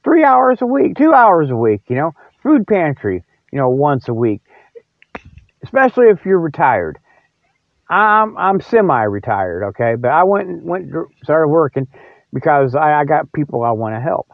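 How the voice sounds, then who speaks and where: A man's voice: a little high, big pitch swings, moderate pace, creaky, no echo. An older American man hosting a podcast in rambling, casual solo chat, with a folksy, jokey way of talking. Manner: folksy, jokey, often chuckles. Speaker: podcast host. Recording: dull lo-fi audio.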